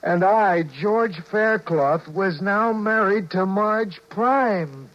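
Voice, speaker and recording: A man's voice: high-pitched, drawn-out words. A man acting a flustered, guilty husband who stammers and narrates his story in a 1950s radio drama. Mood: confiding narration. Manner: stammering, nervous, confiding narration. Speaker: actor playing George, narrator and lead. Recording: dull vintage 1950s radio broadcast.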